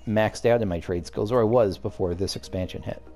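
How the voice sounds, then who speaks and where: A man's voice: on the low side, a little fast, dark tone, soft background music. A man casually commenting while playing a game, rambling and cutting himself off as he thinks aloud. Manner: rambling, self-interrupting, musing aloud. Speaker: let's play host.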